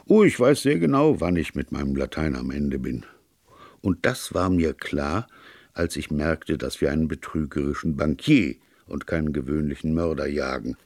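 A man voicing a calm, earnest priest detective who reasons at length in an audiobook. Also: very deep voice, moderate pace, dry recording, studio quality, full character voice. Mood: rueful, earnest. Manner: even-tempered, sincere, discursive. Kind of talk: audiobook character dialogue.

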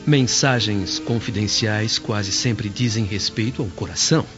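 Actor as shrewd slave, medium male pitch, composed, measured, quietly witty, muffled radio play with faint music. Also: natural voice. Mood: calm, knowing. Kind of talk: radio drama acting. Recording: dull lo-fi radio drama, music underneath.

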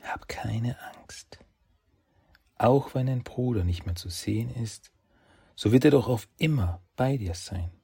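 A man voicing a gentle, mystical female fox spirit, speaking softly and often in a whisper. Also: mid-range voice, animated, moderate pace, vocal fry, husky, pitched above the performer's own voice, full character voice. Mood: soothing, hushed. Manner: soft, hushed, often whispering.

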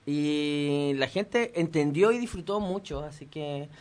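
A man's voice: fairly high pitch, slow, darkish tone. A Chilean man, a theater actor guesting on the radio, giving thoughtful, rambling explanations. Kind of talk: radio interview.

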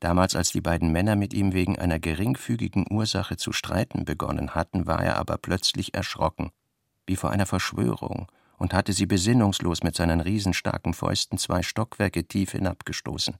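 An actor playing a brooding novelist, reading literary prose and working notes in a deliberate, clipped manner for a radio play. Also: male voice, deep voice, vocal fry, no echo, studio quality. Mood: sober, grave.

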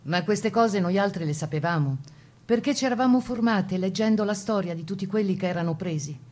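An actress playing a proud Italian Resistance partisan and mother, telling her memories in a measured, reflective testimony for a radio play. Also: mid-range voice, darkish tone, lightly acted. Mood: firm, proud. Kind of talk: dramatized first-person testimony in a radio play.

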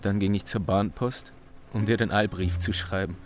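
A man's voice: on the low side, fairly even intonation, normal speed, slight background noise, natural voice. An actor playing a haunted young man in a radio drama, recounting a grim confession in a sombre, plain, restrained way. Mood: numb, subdued. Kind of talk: radio drama monologue, recounting in past tense.

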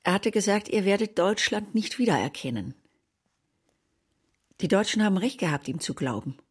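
A bitter woman who has survived the war in a radio drama. She speaks at a middle pitch, sardonic and declarative, in literary phrases. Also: expressive, moderate pace, slightly rough.

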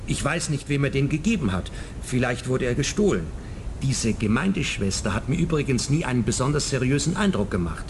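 Lebhaft betonender, selbstgerechter Geschäftsmann , von einem Mann gespielt. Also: male voice, mid-range voice, lively intonation, fairly quick, slight background noise, lightly acted. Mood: evasive. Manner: defensive, self-justifying. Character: defensive, smug, well-off son, middle-aged man. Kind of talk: radio crime comedy acting.

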